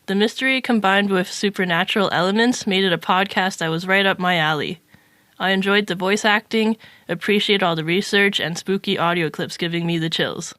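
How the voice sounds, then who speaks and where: A woman's voice: medium pitch, brisk, a bit bright, no echo, studio quality. A woman co-hosting a podcast, reading out a prepared review in a scripted style.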